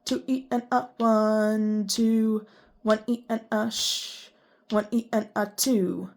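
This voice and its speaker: A woman music teacher with a congested voice, explaining rhythm reading and counting beats aloud in strict, even syllables. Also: medium pitch, takes it slowly. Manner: chatty and self-deprecating between counts.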